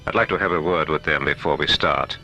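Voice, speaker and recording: Low, forceful male professor. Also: a little fast, faint music behind, heavily acted. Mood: businesslike. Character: determined, authoritative male professor leading research. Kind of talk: science-fiction radio drama acting.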